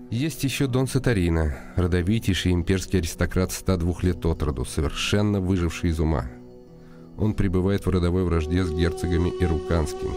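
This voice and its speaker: A man plays a brooding nobleman hero in a radio play. His voice sits very low, deliberate and ironic with an impatient, commanding edge, over clearly audible music. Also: close to an everyday voice. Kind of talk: radio drama acting with inner monologue. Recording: music behind the voice.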